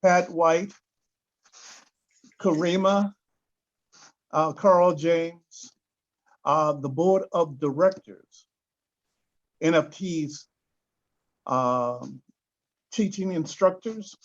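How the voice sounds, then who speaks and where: Halting man, slow, on a dull call. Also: fairly high pitch. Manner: hesitant, halting. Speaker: workshop coordinator introducing the event. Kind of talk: halting opening announcements. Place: video call. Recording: dull lo-fi video call audio.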